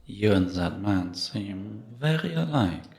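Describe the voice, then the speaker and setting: Male actor as gentle priest, deep creaky voice, contemplative, patient, probing, roomy echo, audio drama. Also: animated, heavily acted. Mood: curious. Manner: slow, measured, contemplative, questioning. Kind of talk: cinematic audio roleplay acting. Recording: echoey, reverberant room.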